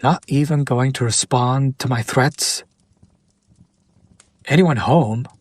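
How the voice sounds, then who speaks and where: A man playing a smug, possessive demon in an intimate roleplay audio drama, teasing and deliberate with breathy pauses. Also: medium pitch, no echo. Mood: frustrated, threatening. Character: male demon buyer, smug, teasing, possessive, bemused by his captive.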